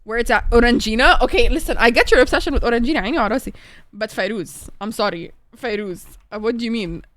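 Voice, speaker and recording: Streamer, young woman, Egyptian accent, a bit high-pitched, chatty, excitable, curses often, dry sound. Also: quick pace, no room sound. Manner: chatty, excitable, swears freely. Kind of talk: casual chat while gaming.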